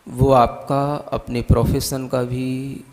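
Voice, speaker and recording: A man with an Indian accent leading a guided meditation as a spiritual teacher, giving calm, deliberate instructions with long pauses. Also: mid-range voice. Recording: long hall-like reverb.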